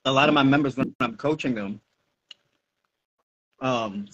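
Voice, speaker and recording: Middle aged american guy, fitness coach chatting informally, medium pitch, kinda muffled call audio. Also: measured pace, crowd noise. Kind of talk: casual Q&A chat. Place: indoor room at home, video call. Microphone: wired earphones on a video call.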